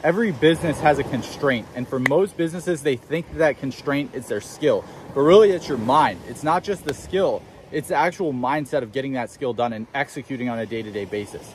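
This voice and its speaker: A man's voice: medium pitch, slight background noise. An eighteen-year-old man vlogging outdoors on a beach, giving emphatic motivational advice straight to camera. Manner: fast, emphatic self-help preaching. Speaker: young entrepreneur vlogger. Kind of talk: motivational vlog talk to camera.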